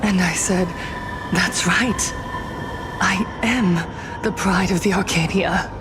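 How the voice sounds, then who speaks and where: Slow-speaking, wounded young storyteller. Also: female voice, fairly low pitch, fairly even intonation, background noise. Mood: sombre, bittersweet. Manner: measured, storytelling. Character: bitter, wounded young woman recounting her tragedy. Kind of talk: dramatic game narration.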